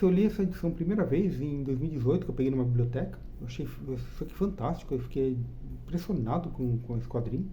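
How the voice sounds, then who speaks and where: A man's voice: on the high side, dark tone, a little hiss or hum. A Brazilian man with a Brazilian Portuguese accent hosting his own channel, giving a chatty, enthusiastic review of a comic book and checking in with the listener with frequent tag questions. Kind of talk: casual spoken review.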